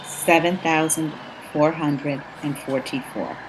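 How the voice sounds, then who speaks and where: Stern, low-voiced female fighter acted by a woman. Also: fairly even intonation, takes it slowly, noisy background. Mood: stern, formal. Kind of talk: stage drama, declaimed lines. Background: music.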